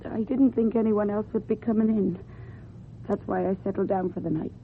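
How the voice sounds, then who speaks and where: An actress playing a young homeless runaway, guarded, defensive and curt, in a radio drama. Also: female voice, mid-range voice, fairly even intonation, fairly quick, lightly acted. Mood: wary. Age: young adult. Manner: curt, clipped answers. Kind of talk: British radio drama acting. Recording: muffled, noisy old radio recording.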